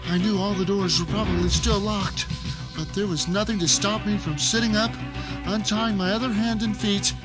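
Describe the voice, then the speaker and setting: Blind male captive played by an actor, high voice, theatrical first-person radio drama narration, vengeful and intense, music underneath. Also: steady tone, normal speed, natural voice, rough recording. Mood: tense, determined. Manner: intense, theatrical, emphatic delivery. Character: blind man trapped at home, bitter and vengeful.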